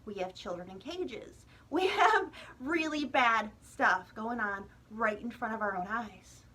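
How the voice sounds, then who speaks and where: A woman's voice: on the high side, lively intonation, a little slow, background noise. An American woman vlogger giving a casual opinion monologue to viewers, chatty and emphatic with exasperated asides.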